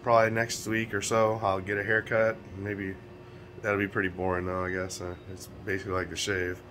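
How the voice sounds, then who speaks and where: A raspy, hoarse American man talks casually into a phone held close, his low voice worn down by a cold. His nose is stuffed up, and his loose, rambling talk is broken by little chuckles. Faint noise sits behind him. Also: flat delivery, brisk, fairly bright tone. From United States. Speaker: vlogger. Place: small room indoors. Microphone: phone camera held close.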